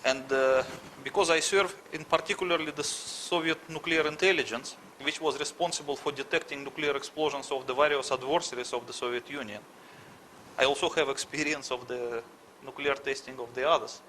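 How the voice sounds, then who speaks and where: A middle-aged man with a Russian accent answers questions in a halting, word-searching way. His voice is bright and forward. A faint background ambience sits under the recording. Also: medium pitch, steady tone, a little hiss or hum. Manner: halting, searches for words, frequent restarts. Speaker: interviewee. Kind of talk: conversational interview answers.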